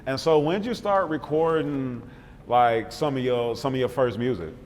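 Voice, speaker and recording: A man interviewing a guest in a relaxed way, agreeing often and talking in a loose, filler-heavy flow. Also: mid-range voice, normal speed, in a large hall, a little hiss or hum. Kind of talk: casual interview talk.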